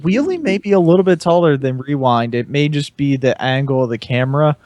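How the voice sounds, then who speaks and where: A man's voice: a little high, expressive, brisk, darkish tone, no echo. A man speaking casually as one of the panelists on a podcast. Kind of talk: casual chat.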